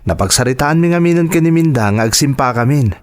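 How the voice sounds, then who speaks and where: A man's voice: medium pitch, lively intonation, quick pace, lightly acted. A man playing a stubborn, hard-drinking young husband and son in an emotive Ilocano drama. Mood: earnest. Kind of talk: Ilocano radio-style drama acting.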